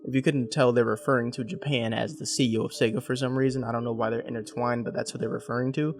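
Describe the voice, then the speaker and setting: A man's voice: medium pitch, level tone, fast. A man giving casual explainer commentary as he walks through a horror series. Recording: music behind the voice.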